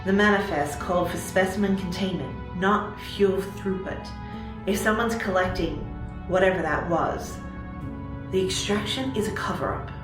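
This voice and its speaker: A woman playing a sharp, skeptical military analyst in a sci-fi audio drama, clipped and analytical. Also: on the low side, normal speed, natural voice. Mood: probing. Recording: roomy echo under prominent music.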